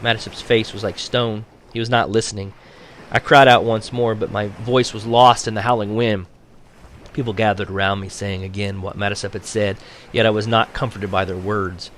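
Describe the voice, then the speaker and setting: A man reading a novel aloud as a teacher would for his class, in an expressive audiobook style. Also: on the low side, moderate pace, slight background noise.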